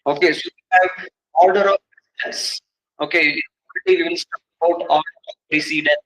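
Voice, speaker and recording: A man's voice: fairly high pitch, fairly even intonation, moderate pace, a bit bright, poor audio. A man with an Indian English accent teaching an exam coaching class in a didactic way, repeating key terms and asking rhetorical questions. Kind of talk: classroom lecture.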